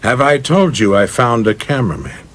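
Man playing a theatrical young film director, animated and husky. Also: mid-range voice, normal speed. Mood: businesslike, assured. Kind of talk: radio play acting.

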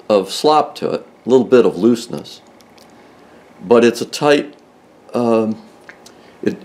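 A man's voice: mid-range voice, darkish tone. Older guy showing off a socket bit from inside a car, plain methodical talk, restarts a lot. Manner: plain, methodical, restarts mid-sentence while explaining. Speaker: car restoration video host. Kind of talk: hands-on tool demonstration.

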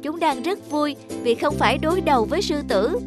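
High-pitched, curious little leaf sprite voiced by a woman. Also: expressive, moderate pace, over music. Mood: eager. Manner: earnest, questioning, respectful. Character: curious, naive young leafy sprite child, eager pupil. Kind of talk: animated fable dialogue.

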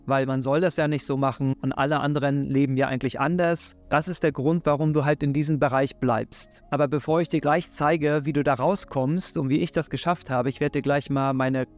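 A man's voice: on the high side, fairly even intonation, brisk, few pauses, darkish tone, faint music behind. A man in his thirties from Hamburg with a Hamburg German accent, giving an earnest, encouraging faith talk straight to camera in a living room. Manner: earnest, encouraging, direct address. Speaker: faith and self-help YouTuber. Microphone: desk microphone in front.

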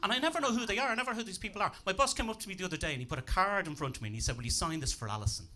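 A man's voice: high voice, rapid-fire. A young man with a Dublin accent doing a stand-up set on a comedy club stage, talking dry and self-deprecating into a handheld mic. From Ireland. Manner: dry, deadpan delivery with self-deprecating asides.

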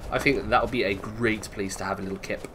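A man's voice: lowish voice, fairly even intonation, fast talker, noisy background. A man giving casual, chatty gameplay commentary, thinking aloud playfully and swearing freely. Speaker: gaming video host.

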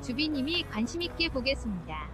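High-pitched woman reading out chat messages. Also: very animated, fairly quick, music in the background. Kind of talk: short read-out of viewer chat messages.

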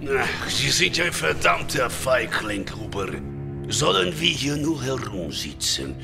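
A man's voice: medium pitch, very animated, slow pace, creaky, music in the background, full character voice. An actor playing a blunt, hot-headed spaceship crewman in a sci-fi audio drama. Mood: indignant.

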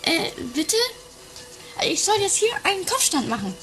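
Woman playing a high-pitched, grumbling apprentice guru. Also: sing-song, moderate pace, fairly bright tone, soft background music, heavily acted. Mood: incredulous. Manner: hesitant, incredulous, grumbling. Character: skeptical, grudging female apprentice guru, easily exasperated. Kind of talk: improvised comic radio play.

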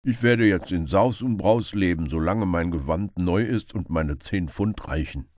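A man's voice: deep voice, measured pace, a little mellow, no echo, clean studio sound. A man voicing a smug, boastful male beggar in a storybook reading. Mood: boastful, pleased.

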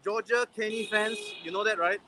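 A man's voice: high voice, steady tone, moderate pace, pushed and bright, low-quality recording. A man in his forties hosting a casual livestream while walking a busy city street, chatting and reading viewer comments, filmed on a phone at arm's length. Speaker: livestream host. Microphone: gimbal-mounted phone at arm's length. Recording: faint street noise.

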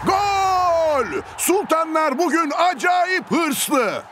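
Very high-pitched, over-excited male commentator. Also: very slow, heavy background noise, heavily acted. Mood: thrilled. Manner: exclaiming, theatrical. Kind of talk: cartoon sports commentary. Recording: loud music behind.

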